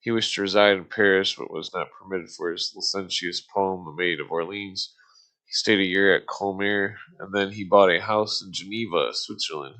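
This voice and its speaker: A man reading aloud casually on a live stream, halting and stumbling over formal text, with muttered asides. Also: low-pitched, fairly even intonation, sharp tone, poor audio.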